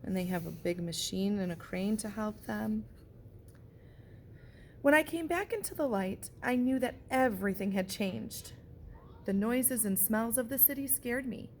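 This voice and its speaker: Slow, gentle woman reading a picture book. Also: medium pitch, slight background noise. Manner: gentle, slow storybook delivery with pauses. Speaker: teacher reading aloud. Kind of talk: picture book read-aloud with comments on the pictures.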